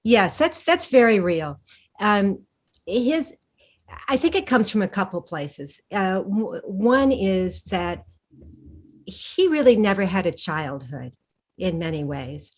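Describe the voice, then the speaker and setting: A woman author in an interview, answering thoughtfully with frequent restarts and pauses. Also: medium pitch, sing-song. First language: English. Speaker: historical fiction author, guest.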